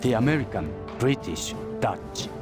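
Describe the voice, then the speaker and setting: An actor playing a proud, assured Japanese imperial war narrator, giving a formal, measured video game briefing. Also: male voice, mid-range voice, lively intonation, close to an everyday voice. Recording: loud music over the voice. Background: loud music.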